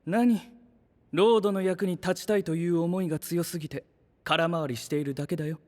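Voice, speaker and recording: An actor playing an aloof, analytical young knight with a detached, haughty manner in a Japanese anime voice drama. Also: male voice, on the high side, steady tone, fairly quick, a little mellow, natural voice. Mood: dismissive. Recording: long hall reverb.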